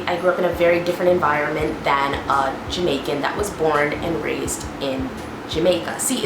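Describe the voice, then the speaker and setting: A young adult American woman from the Northeast talks casually and emphatically to viewers, explaining things like a vlogger. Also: lowish voice. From northeastern United States. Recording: roomy echo, clearly audible background noise.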